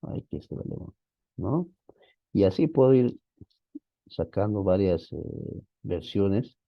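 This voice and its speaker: A man with a very low voice and a gravelly, creaky edge walks a class through software in a relaxed, thinking-aloud way. The sound is dry and close, a little muffled and lo-fi. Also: measured pace, no room sound. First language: Spanish. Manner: casual, thinking aloud, frequent "¿no?" tags. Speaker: teacher. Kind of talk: screen-share software walkthrough.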